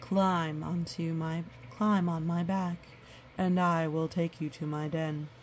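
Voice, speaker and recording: A woman reading the part of a grown male wolf in a storybook, gentle, protective and reassuring. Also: low voice, level tone, slow pace, a little hiss or hum.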